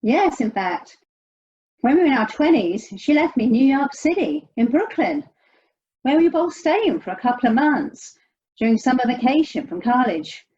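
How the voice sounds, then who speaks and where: A woman's voice: high-pitched, big pitch swings, normal speed, mellow, husky, highs cut off, full character voice. An actress in an audio play playing an anxious, sardonic American woman searching for her estranged sister. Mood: hurt, angry.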